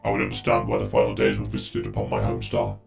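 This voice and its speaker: An actor voicing a cold, inquisitive ancient battle machine in a game, his voice run through a robot filter and delivered with flat, clipped precision. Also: quick pace, soft background music, exaggerated voice. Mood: curious. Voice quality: extremely deep, gravelly, mechanical. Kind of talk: game cutscene dialogue.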